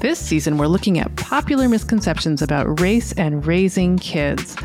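A woman's voice: on the low side, expressive, moderate pace, darkish tone, faint music behind. A middle-aged woman presenting and interviewing as co-host of a podcast.

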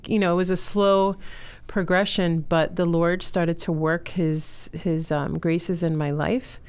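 A woman's voice: fairly low pitch, little pitch movement, a little slow, darkish tone, clean tone. A woman in her forties, from Chile and raised in Miami, earnestly telling her personal story as an interview guest. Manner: earnest, heartfelt storytelling with fillers. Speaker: guest, school co-founder.

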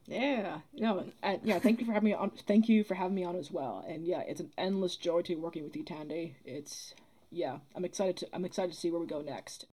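A woman speaking as a podcast guest in casual chat, halting and rephrasing as she goes. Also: on the low side. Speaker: podcast guest, theatre producer.

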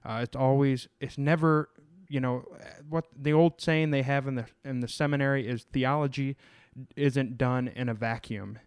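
A male podcast host talks casually about scripture, often stopping to restart his sentences or fill a gap with an "uh." His voice comes across smooth and clear, and the recording is close and dry, with no room sound. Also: medium pitch, steady tone, no echo. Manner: halting, many fillers and restarts.

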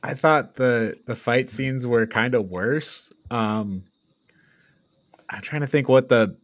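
A man chats casually as a review show co-host. His pitch sits in the middle, and his delivery is halting and exasperated. The sound is dry, with little room in it. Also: a bit bright, dry recording. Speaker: podcast co-host reviewing films.

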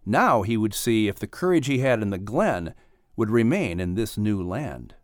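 A man reading a children's adventure audiobook as narrator, in an expressive scripted storytelling style. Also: lowish voice, normal speed, no echo, clean studio sound.

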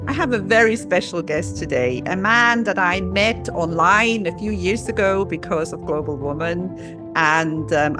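A woman from Switzerland hosting an interview show, chatty and enthusiastic as she asks her guest questions. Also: on the low side. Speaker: podcast host.

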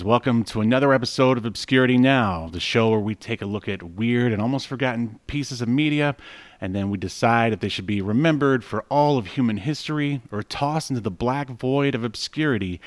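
A man's voice: mid-range voice, no echo. A man co-hosting a podcast in casual, joking banter, laughing often and saying "uh" a lot. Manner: jokey, laughs often, many "uh" fillers. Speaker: podcast co-host.

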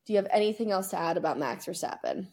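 A woman co-hosting a podcast, chatting casually about sports in an informal, slangy, excitable way. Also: fairly low pitch, fast, vocal fry. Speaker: podcast co-host.

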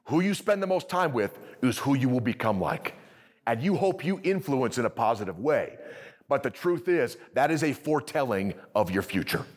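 A man preaching to a live congregation, energetic and conversational, often checking in with the audience. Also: mid-range voice, normal speed. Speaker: pastor. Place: church service with audience.

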